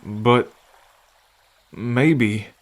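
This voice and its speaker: A man's voice: mid-range voice, drawn-out words. A man playing a friendly, earnest half-bull man in an ASMR roleplay, talking in a chatty, folksy way. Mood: gentle, concerned. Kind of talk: ASMR roleplay, acted speech to the listener.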